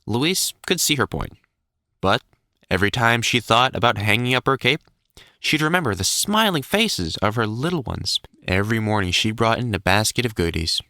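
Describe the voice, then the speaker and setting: Expressive male storybook narrator, dry close sound. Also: medium pitch, moderate pace, no echo.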